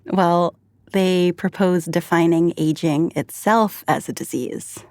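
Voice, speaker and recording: A woman co-hosting a podcast, explaining things in a measured way. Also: lowish voice, darkish tone, no room sound, studio quality. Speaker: staff writer and co-host. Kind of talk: podcast conversation.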